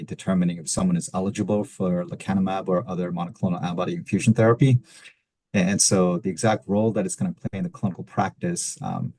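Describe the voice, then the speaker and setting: A man with a very low voice speaks in a measured, explanatory way on a video call. His sound is dry and close, with no room around it. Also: a little mellow, dry recording. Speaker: physician, faculty discussant. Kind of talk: webinar Q&A.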